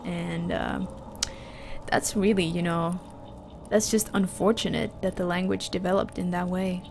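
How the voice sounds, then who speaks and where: A woman's voice: on the low side, flat delivery, unhurried, vocal fry, a little mellow, slight background noise. A woman from Finland, a native Finland Swedish speaker, chatting casually on a livestream and answering her chat, with frequent restarts. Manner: chatty, restarts often, reads chat aloud. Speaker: streamer.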